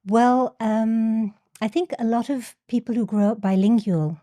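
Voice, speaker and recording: A middle-aged woman with an English accent, speaking as a guest in a podcast interview. Her voice is mellow and dark, recorded dry and close, and she talks hesitantly, repeating words as she finds them. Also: fairly high pitch, fairly even intonation, a little slow, no echo. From England. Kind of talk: casual interview.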